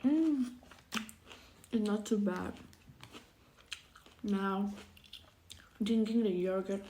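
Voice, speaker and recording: A woman's voice: medium pitch, extremely slow, mellow, slight background noise. A woman vlogging casually about food, speaking in simple, hesitant English. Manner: hesitant, simple non-native English phrasing. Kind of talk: casual food vlog to camera.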